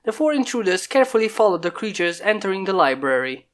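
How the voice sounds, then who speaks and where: A man reading a fan story aloud in steady, plain narration. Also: sits high, fast. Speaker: fanfic reader. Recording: mic pops from a failing microphone.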